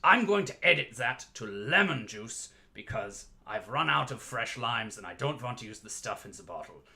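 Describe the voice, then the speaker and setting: Bright-voiced man hosting a playful livestream. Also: medium pitch, expressive, normal speed, vocal fry. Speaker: livestream host mixing cocktails as "dungeon barkeep". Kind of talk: casual livestream chat with playful showman patter.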